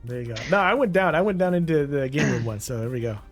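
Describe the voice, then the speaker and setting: A man's voice: on the high side, expressive, fast, music in the background. A man in his thirties, a streamer in casual chat while gaming, laid-back, laughing often and trailing off mid-sentence.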